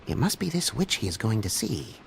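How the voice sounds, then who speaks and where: A meek, apologetic creature from a video game, speaks with extremely animated, swooping pitch. He sounds timid and hungover, with faint noise behind him. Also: a little fast, vocal fry. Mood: sheepish.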